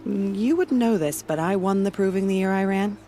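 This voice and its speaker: An actress playing a proud female warrior who guards a border village, speaking in a solemn, steady and devout way. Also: mid-range voice, brisk, soft background music, natural voice.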